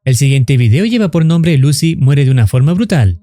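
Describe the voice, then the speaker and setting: A man's voice: medium pitch, expressive, normal speed, dark tone. A man reading scripted narration for a horror explainer video.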